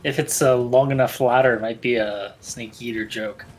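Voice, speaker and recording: A man's voice: medium pitch, steady tone, normal speed. A man making casual remarks in conversation during a game stream. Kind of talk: casual chat.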